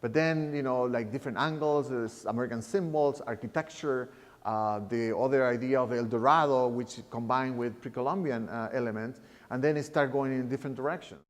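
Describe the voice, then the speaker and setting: Halting man in his fifties. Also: mid-range voice. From Chile. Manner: halting, searching for words, restarts phrases. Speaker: artist. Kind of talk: reflective interview talk. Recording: reverberant room sound.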